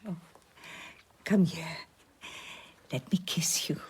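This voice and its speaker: A woman's voice: low-pitched, takes it slowly, husky, heavily acted. An actress playing an effusive, sentimental older woman with a Welsh accent in a radio drama, lingering on her words. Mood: gushing, affectionate.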